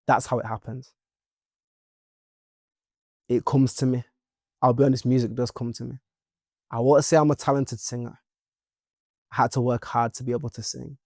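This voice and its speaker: Young Leeds man, gravelly, dry close sound, playful and chatty interview guest. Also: medium pitch, little pitch movement, moderate pace, pauses often, a little mellow, no echo. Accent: Leeds English. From Leeds, England. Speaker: musician guest. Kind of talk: casual interview chat.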